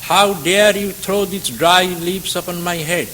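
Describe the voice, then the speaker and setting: Man voicing a proud young monk, hot-tempered, indignant, fairly high voice. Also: unhurried, pushed and bright, a little hiss or hum, low-quality recording.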